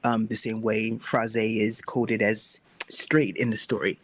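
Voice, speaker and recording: A man's voice: lowish voice, flat delivery, slow pace. A man who makes webcomics talks casually and reflectively as a guest in a panel discussion.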